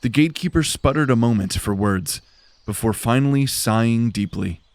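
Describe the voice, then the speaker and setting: A man's voice: medium pitch, moderate pace, no room sound. A man giving dramatic scripted narration for a fantasy audio drama.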